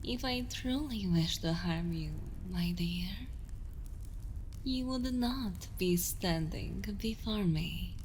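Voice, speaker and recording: Evil queen for ASMR drama, female performer: very unhurried, hushed, commanding, coolly amused, seductive menace, faint room noise behind. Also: lowish voice. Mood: commanding, teasing. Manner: slow, deliberate, hushed delivery slipping into whispers; commanding, condescending, teasing. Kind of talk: ASMR roleplay, intimate dramatic monologue.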